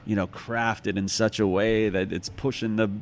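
Low-voiced, thoughtful American man. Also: steady tone, normal speed. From United States, Chicago. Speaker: author, interview guest. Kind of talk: reflective conversational interview.

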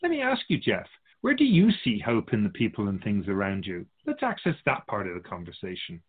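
Man playing a lively, husky otherworldly soul keeper. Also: lowish voice, narrow, tinny audio, exaggerated voice. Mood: reassuring. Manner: measured, philosophical, musing, addressing humanity as an outsider. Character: wise, patient otherworldly keeper of souls, amused and reassuring. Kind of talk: radio drama dialogue.

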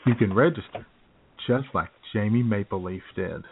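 Male co-host, jokey and chuckly casual banter, slightly husky voice, thin telephone-grade audio. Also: on the low side, moderate pace. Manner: joking, laughs often while talking. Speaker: radio show co-host. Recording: thin phone-like internet radio audio.

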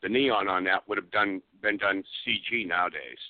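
A man's voice: low-pitched, moderate pace, no echo. A man chatting casually as an interview guest, explaining things from his trade in plain, informal words. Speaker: guest, effects artist. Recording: dry, narrow phone line.

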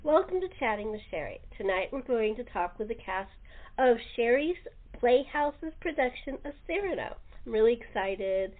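A woman with a slightly high voice hosts a casual cast interview. The audio is thin and narrow, like a telephone line. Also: big pitch swings, normal speed, a little hiss or hum. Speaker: podcast host and producer.

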